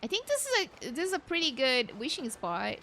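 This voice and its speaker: A woman's voice: high voice, wildly swooping pitch, a little fast, heavy background noise. A young woman gaming streamer giving casual, reactive let's play commentary in an informal way. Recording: game music behind voice. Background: video game music.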